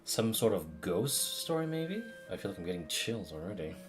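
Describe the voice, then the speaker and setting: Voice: low voice, sing-song, moderate pace. A game streamer giving casual, wry, informal commentary and reading dialogue aloud. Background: game music.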